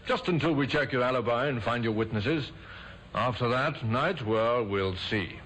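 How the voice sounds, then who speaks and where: An actor playing a shrewd, brisk male police inspector in an old radio drama, crisp and dryly ironic. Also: mid-range voice, fairly bright tone, low-quality recording. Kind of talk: 1950s radio drama acting. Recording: old radio broadcast with faint hiss.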